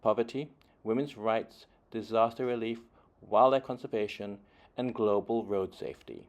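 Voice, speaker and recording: A man reading a children's story aloud expressively, with deliberate phrasing and dramatic emphasis. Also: fairly low pitch, steady tone. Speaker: children's book reader.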